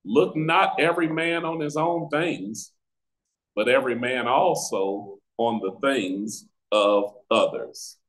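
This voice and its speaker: Slow, deliberate American male pastor. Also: mid-range voice, poor audio. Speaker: pastor leading a Bible study. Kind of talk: Bible teaching with scripture reading. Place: indoors, on a video call. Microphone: video call microphone.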